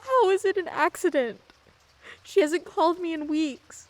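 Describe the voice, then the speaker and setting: A woman's voice: extremely high pitch, unhurried, no room sound, poor audio. A young woman playing a sullen, terse seventeen-year-old girl who is new in town, in a radio play. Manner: terse, flat, withdrawn.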